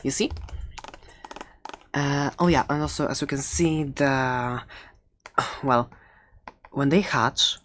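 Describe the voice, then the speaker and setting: Woman as a fantasy dragon egg shopkeeper for ASMR roleplay: very deep register, hesitant, playful, friendly, over audible background noise. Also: takes it slowly, long pauses. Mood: gentle, rambling. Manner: hesitant, rambling, giggly. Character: soft-spoken, playful dragon egg seller, friendly and rambling. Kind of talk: ASMR roleplay, improvised and conversational.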